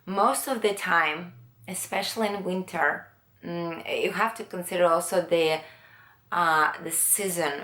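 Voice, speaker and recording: A woman from Latvia, giving a makeup tutorial in a hesitant way, often pausing to search for words. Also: on the low side, fairly bright tone, reverberant. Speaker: beauty vlogger.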